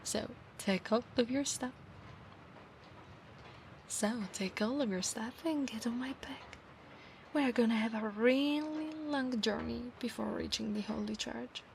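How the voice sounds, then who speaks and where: Casting: actress as possessive, doting female centaur knight; Italian accent, unhurried whispery ASMR delivery, touch of creak. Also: a little high, a little mellow, slight background noise. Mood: playful, coaxing. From Italy. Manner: breathy, often slipping into whispers. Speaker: ASMR roleplay performer. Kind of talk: ASMR roleplay, soft-spoken with whispers.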